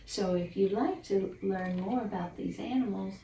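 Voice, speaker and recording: An older woman reads a children's picture book in a gentle, unhurried, expressive bedtime style, talking to young listeners. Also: mid-range voice. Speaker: storyteller reading a picture book. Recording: roomy, distant mic, faint background noise.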